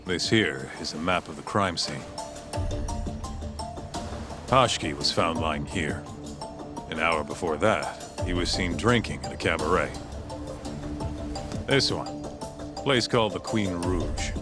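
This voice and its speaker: Deep-voiced, blunt street-tough detective. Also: male voice, animated, measured pace, long pauses, music in the background. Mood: matter-of-fact. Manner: blunt, colloquial, slangy. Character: gruff, street-tough private investigator, wry sidekick. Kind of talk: video game cutscene acting.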